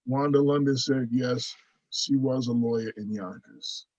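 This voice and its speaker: A male radio host asks questions haltingly and reads out listener comments. His mid-pitched voice sounds dry and close, with a dull, lo-fi quality. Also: steady tone, darkish tone, no echo. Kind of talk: interview questions.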